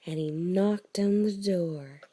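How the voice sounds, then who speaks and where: A woman's voice: on the low side, takes it slowly, dark tone, breathy edge. A woman telling bedtime stories to a child in a playful, chatty way, often prompting with questions. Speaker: storyteller to a child. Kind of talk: bedtime storytelling with casual chat.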